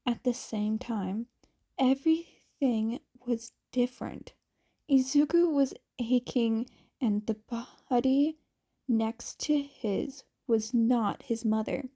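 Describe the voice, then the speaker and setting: A woman's voice: on the high side, slow, dark tone. A woman reading a story aloud as scripted, audiobook-style narration. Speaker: audiobook-style fan fiction reader.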